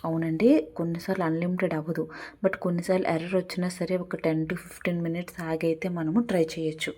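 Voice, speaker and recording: A woman's voice: on the low side, little pitch movement, fast talker, mellow. A woman hosting a casual step-by-step tutorial, mixing in English words. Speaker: tutorial host.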